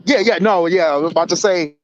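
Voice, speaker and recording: Man, from Detroit, slightly high voice, laid-back co-host, dry dull lo-fi sound. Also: fast, a little hiss or hum. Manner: laid-back, lots of fillers and "yeah, no". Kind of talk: casual live podcast chat.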